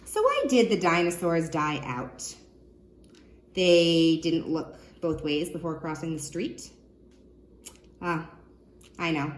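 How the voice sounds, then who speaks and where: A woman children's librarian reading a nonfiction book aloud, expressively, pausing to put questions to young listeners. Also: low voice, very animated, slight background noise.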